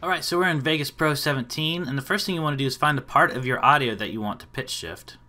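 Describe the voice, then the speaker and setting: A man in his thirties hosting a casual software tutorial. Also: medium pitch, fast, over music. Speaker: tutorial host.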